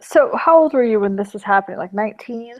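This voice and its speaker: A woman's voice: fairly high pitch, expressive, fast talker, a little mellow, low-quality recording. A woman hosting an interview, asking questions and reflecting sympathetically. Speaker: interview host. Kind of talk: casual interview talk.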